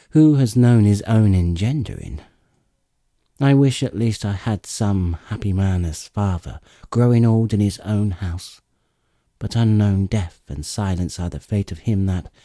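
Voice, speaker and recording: Man reading as a grave, mournful young prince, slow. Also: on the low side, dark tone, dry recording, clean studio sound. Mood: doubtful, wistful. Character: young princely son of a lost father, thoughtful, mournful. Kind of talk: dramatic epic audiobook reading.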